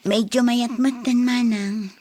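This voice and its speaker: A woman's voice: a little high, steady tone, slow, dark tone. A woman playing a blunt, exasperated young daughter in a radio drama, with a curt manner and an Ilocano accent. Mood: evasive, irritated.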